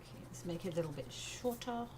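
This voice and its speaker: A woman's voice: fairly low pitch, animated, quick pace. A woman from England with a blended English and American accent, casually thinking aloud as she hosts a live art tutorial. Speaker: art livestream host. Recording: noticeable background noise.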